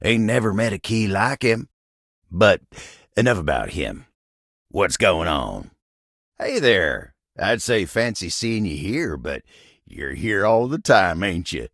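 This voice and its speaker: An actor voicing a bashful, folksy, old-fashioned talking table in a video game, with a gravelly voice and an American Southern, Western-style drawl. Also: male voice, low-pitched, very animated, slow pace, a bit bright, no echo, studio quality, heavily acted. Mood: warm, fond. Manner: folksy, rambling, flustered hesitations. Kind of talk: video game character dialogue.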